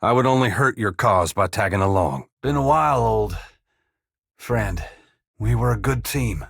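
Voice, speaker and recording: An actor playing a humble, weary dead farmer-saint in a fantasy video game, plain-spoken yet solemn, with a gravelly, husky voice. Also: male voice, fairly low pitch, lively intonation, measured pace, fairly bright tone, dry recording, clean studio sound, exaggerated voice. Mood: regretful. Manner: plain-spoken rustic phrasing mixed with solemn archaic oratory. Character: humble dead farmer-saint, once god-touched, wry, earnest and weary. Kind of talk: dramatic fantasy game dialogue.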